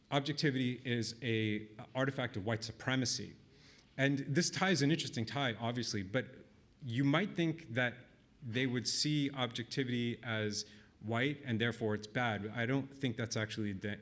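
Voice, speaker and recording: A middle-aged man speaks at a conference in an ordinary mid-range voice. He works through his argument in a measured, thoughtful way. He pauses on ums and restarts small phrases as he goes. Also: mid-range voice, a bit bright. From Israel. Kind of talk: delivering a speech.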